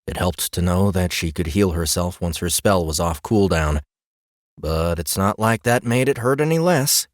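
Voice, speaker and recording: A man's voice: low voice, expressive, normal speed, dry recording, clean studio sound. A man narrating a fantasy audiobook as a scripted story reading.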